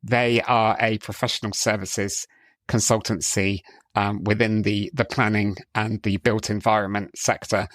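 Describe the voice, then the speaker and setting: The man who opens an interview podcast from a dry little studio, keenly introducing his guest in a lowish voice. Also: fairly bright tone, dry recording. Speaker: podcast host.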